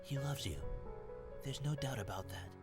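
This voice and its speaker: A woman voicing a kind, gentle elderly grandfather in a visual novel, with a soft, reassuring delivery. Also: a bass voice, normal speed, over loud music, pitched below the performer's own voice. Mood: earnest.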